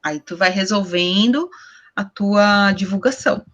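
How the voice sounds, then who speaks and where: A woman's voice: medium pitch, a little slow, highs cut off. Chatty woman teaching over video call. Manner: chatty, explanatory, asks herself questions. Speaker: workshop teacher. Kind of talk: online class teaching. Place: small room at home. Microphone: laptop webcam on video call.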